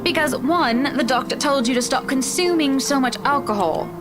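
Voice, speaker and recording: Woman voicing rich bossy socialite wife, pretty high voice, haughty, game dialogue w music under it. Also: moderate pace, lightly acted. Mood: insistent. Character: Hannah, wealthy, assertive socialite wife, haughty and exasperated. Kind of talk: visual novel voice acting. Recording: music playing behind the voice.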